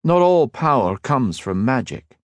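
A man voices a modest, steady young hero in a fantasy audiobook. The voice is pitched somewhat higher than his own and moves animatedly. The recording is dry and close. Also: measured pace, dry recording. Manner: earnest, modest.

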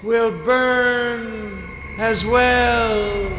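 A man voicing a commanding, menacing high priestess in a storybook, with a slow, booming, magically enhanced voice that echoes. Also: fairly even intonation, heavily acted. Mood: threatening. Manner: slow, grand, imperious. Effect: echo with long reverb. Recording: echoing long reverb, noisy ambience.

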